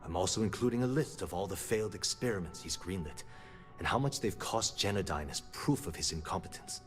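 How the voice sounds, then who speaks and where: Low-pitched male frustrated, principled company manager for sci-fi game dialogue, earnest and articulate, music underneath. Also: lively intonation, a little fast. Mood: frustrated, pleading. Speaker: video game character.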